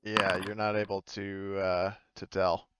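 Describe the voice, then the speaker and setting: A man acting as game master of a tabletop roleplaying game, improvising casually with halting explanations. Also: low voice, level tone, fairly bright tone, soft background music.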